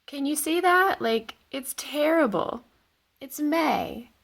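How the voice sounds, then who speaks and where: A woman's voice: high voice, lively intonation, takes it slowly, vocal fry, fairly bright tone, no room sound. A young woman from the Pacific Northwest, chatting casually and candidly to the camera in a vlog, with self-mocking asides. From Washington State, USA. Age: young adult. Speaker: vlogger.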